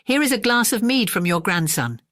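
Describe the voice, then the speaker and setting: A passionate storybook innkeeper, as a narrator puts him on, good-hearted but quick to flare up. The voice is pitched up and exclamatory, recorded dry. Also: very animated, a bit bright, no echo. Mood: bellowing, impatient. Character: rich, good-hearted, hot-tempered male innkeeper.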